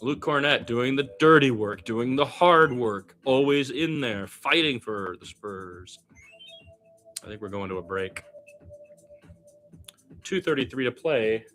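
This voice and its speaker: A man giving live basketball commentary as an amateur radio announcer, animated on the plays and wry and musing in his casual, rambling asides. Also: medium pitch, measured pace, long pauses, faint music behind.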